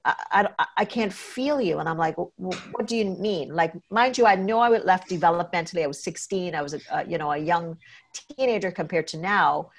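A woman's voice: fairly low pitch, normal speed, a little hiss or hum. A woman from Trinidad and Tobago hosting a podcast conversation, chatting and telling personal stories with quoted dialogue. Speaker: podcast host and consultant.

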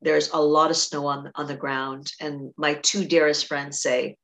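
A woman's voice: low voice, little pitch movement, measured pace, vocal fry, a bit bright, rough recording. A middle-aged woman from Trinidad hosting a podcast, chatty and storytelling, talking over a remote call. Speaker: podcast host. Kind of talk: conversational interview.

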